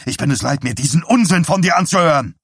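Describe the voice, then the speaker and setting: A man reading an audiobook, playing a fed-up, angry husband who scolds and barks forcefully. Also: mid-range voice, expressive, moderate pace, dry recording, studio quality. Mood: furious. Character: enraged limping husband, fed up with wife's zealotry. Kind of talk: dramatised audiobook dialogue.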